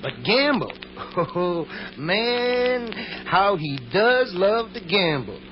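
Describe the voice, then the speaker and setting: A man's voice: sits high, big pitch swings, takes it slowly, full character voice. An actor playing a sly, folksy traveling salesman in an old radio drama, gabby and pleased with himself. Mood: boastful, amused. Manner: folksy, chuckling, gabby, self-satisfied. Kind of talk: 1950s radio drama acting. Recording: dull, noisy old radio recording.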